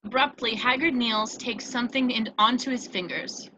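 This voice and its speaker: A woman's voice: on the high side, normal speed, low-quality recording. A woman reading scripted stage directions as narrator of a table read. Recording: clear steady background noise.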